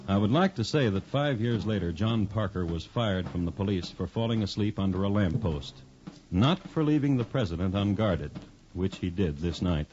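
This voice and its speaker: Wry 1950s radio storyteller, dry wit but lively intonation, slightly hissy old recording. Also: male voice, low-pitched, lo-fi sound. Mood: dry, storytelling. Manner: wry, deadpan, deliberate phrasing. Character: dry, wry male true-crime storyteller. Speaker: host and narrator. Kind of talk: 1950s radio scripted narration.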